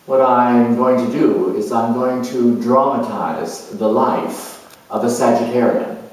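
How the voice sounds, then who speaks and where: A man giving a measured, explanatory lecture as an astrologer introducing a performance, halting and deliberate, with long pauses. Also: mid-range voice. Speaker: astrologer, presenter. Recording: very echoey room, distant mic.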